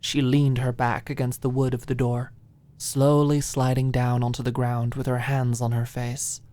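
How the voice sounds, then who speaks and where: A woman narrating an audiobook story in an expressive, scripted style. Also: rumbling low, normal speed.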